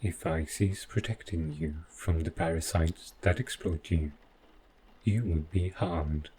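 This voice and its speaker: A deep-voiced male robot butler, acted out by a man for an audio roleplay. He speaks very slowly in formal, clinical phrases, devoted and possessive with a calm menace. Faint noise sits behind the voice. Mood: controlled, insistent. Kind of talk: scripted audio roleplay addressed to the listener.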